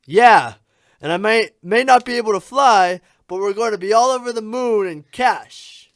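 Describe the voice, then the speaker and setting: A man's voice: sits high, moderate pace, no echo, clean studio sound, lightly acted. A man voicing an easygoing, greedy chicken in a radio comedy sketch, comically earnest and given to exclaiming. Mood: scheming.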